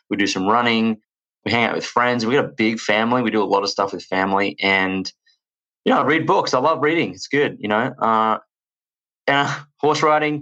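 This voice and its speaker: Australian man, low-pitched, rambling and energetic, casual podcast chat, muffled lo-fi audio. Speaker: podcast guest, entrepreneur.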